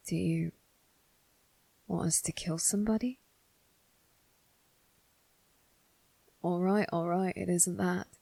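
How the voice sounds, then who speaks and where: Woman voicing a husky, creaky demon servant. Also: fairly low pitch, dark tone, no echo, exaggerated voice, rough recording. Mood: teasing, probing. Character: teasing demon servant triplets, sly and menacing. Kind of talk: ASMR roleplay acting.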